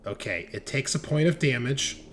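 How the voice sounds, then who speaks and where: A man's voice: mid-range voice, lively intonation, moderate pace, background noise. A man running a tabletop roleplaying game as game master, talking casually and jokily.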